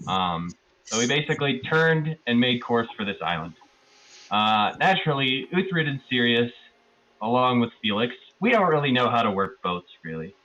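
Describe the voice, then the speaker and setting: A man's voice: mid-range voice, sing-song, moderate pace, sharp tone, muffled recording. A man giving a casual, rambling and amused recap of a tabletop roleplaying session as one of the players.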